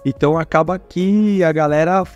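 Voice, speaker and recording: A Brazilian man telling stories as a guest at a career fair, chatty and animated, who talks with his hands and keeps checking in with tag questions. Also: on the high side, normal speed, dull audio, soft background music. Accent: Brazilian Portuguese. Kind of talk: casual storytelling interview.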